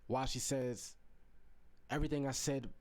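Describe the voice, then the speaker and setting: A man's voice: mid-range voice, steady tone, normal speed, a little mellow. Male reaction host; loose, rambling, casual commentary.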